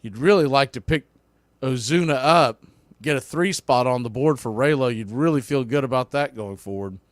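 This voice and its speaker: A man's voice: mid-range voice, normal speed, dry recording. A man doing live baseball play-by-play in clipped phrases, with a folksy, partisan enthusiasm for the home team. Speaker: baseball play-by-play announcer for a fan stream. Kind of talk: live sports play-by-play commentary.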